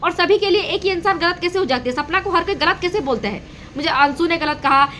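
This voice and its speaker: A woman with a very high, bright and sharp voice. She gives casual reaction commentary straight to her viewers, hammering points home through repetition. There is faint noise behind her. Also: fairly quick. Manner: repetitive, emphatic phrasing. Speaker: reaction video host. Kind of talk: casual gossip commentary to an audience.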